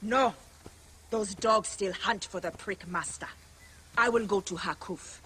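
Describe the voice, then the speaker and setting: Casting: hardy, caring village protector in a historical game, average pitch, firm delivery. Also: female voice, a little slow, sharp tone, slight background noise. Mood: urgent, determined. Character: tough, caring rebel woman sheltering villagers in ancient Egypt. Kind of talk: video game cutscene acting.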